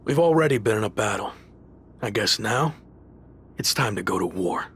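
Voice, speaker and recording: A man's voice: on the low side, lively intonation, normal speed, a bit bright, slightly rough, heavily acted. A man playing a determined pro wrestler in a video game cutscene, dry and understated in manner. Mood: resolute. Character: determined, dry-witted male pro wrestler leading a team.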